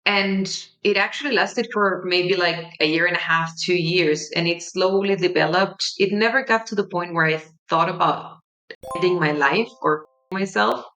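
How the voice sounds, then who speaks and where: Slow, gentle woman with a Mexican Spanish accent. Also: lowish voice, rough recording. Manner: gentle, warm-hearted, unhurried with soft pauses. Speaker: podcast host. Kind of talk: reflective personal monologue.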